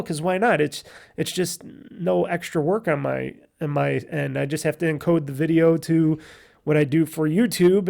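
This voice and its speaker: A man with a raspy, nasal voice hosting a casual solo podcast on a USB microphone, rambling and correcting himself as he goes. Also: on the high side. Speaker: podcast host.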